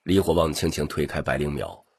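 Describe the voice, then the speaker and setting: A man with a rough voice narrating a scripted audiobook story. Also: rumbling low, lively intonation, a little fast.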